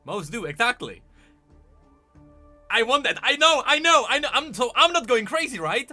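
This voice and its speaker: Animated, high-pitched male streamer. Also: moderate pace. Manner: emphatic, repeats phrases for stress. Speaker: livestreamer commenting on gaming news. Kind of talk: casual livestream commentary to chat. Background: background music.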